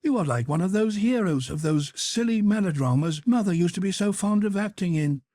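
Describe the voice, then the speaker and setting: A man's voice: high-pitched, animated, a little fast, mellow, dry recording, clean studio sound, voice pushed up. A man voicing a dreamy infatuated young woman. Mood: pleading.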